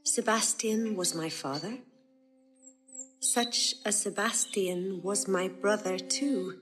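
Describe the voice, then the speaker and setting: A woman's voice: medium pitch, slow, soft background music, close to an everyday voice, rough recording. A woman playing a sincere young noblewoman disguised as a page, in lyrical classical Shakespearean style. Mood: solemn wonder. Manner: solemn, lyrical verse delivery. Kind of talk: classical Shakespearean stage acting.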